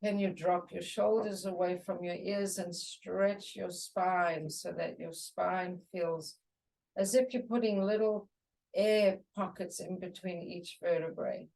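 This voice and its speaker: A woman teaching a guided meditation, giving soothing, unhurried instructions in short phrases with pauses. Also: on the low side, steady tone, gravelly, a little mellow, recorded from a distance, low-quality recording.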